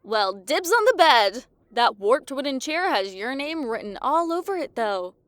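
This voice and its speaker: A woman's voice: sits high, sing-song, unhurried, fairly bright tone, clear, resonant tone, dry recording, full character voice. A woman voicing a young female adventurer in a fantasy audio drama, with a casual, blunt, sarcastic manner. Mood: playful, tired.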